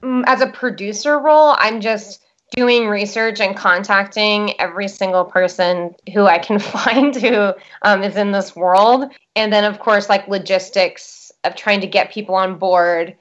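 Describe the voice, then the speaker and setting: A young woman from the United States talks through an interview in a loose, chatty way, with a filler in nearly every phrase. Her voice sits at a middle pitch. The recording sounds a little dull and muffled. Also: fairly even intonation, unhurried. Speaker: documentary producer. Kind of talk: interview answers.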